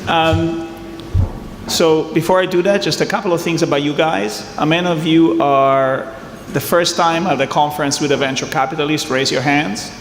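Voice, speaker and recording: Middle-aged italian guy, bit high voice, chatty investor on stage, big echoey hall. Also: steady tone, moderate pace, a little hiss or hum. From southern Italy. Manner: chatty, jokes with the audience. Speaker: venture capital investor on a panel. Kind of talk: conference fireside chat. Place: conference hall. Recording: echoey hall, faint ambience.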